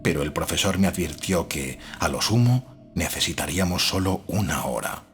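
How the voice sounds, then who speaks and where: A man's voice: low voice, animated, normal speed. A man reading gothic fiction as an audiobook narrator, in a scripted, dramatic style. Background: faint music.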